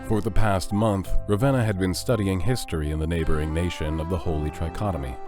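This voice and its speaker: Low-voiced man narrating fiction. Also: fairly even intonation, fairly quick. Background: music.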